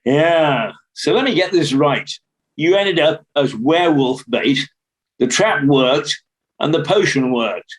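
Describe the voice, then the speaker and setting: Man playing a higher-voiced, skeptical radio producer. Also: animated, slow pace, rough recording. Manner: dry, questioning. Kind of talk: radio play dialogue.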